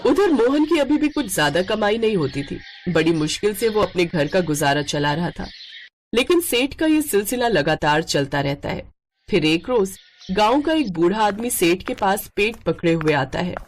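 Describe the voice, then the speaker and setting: A woman narrating a children's moral story in an expressive scripted storytelling style. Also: medium pitch, normal speed, some chatter behind, slight background noise.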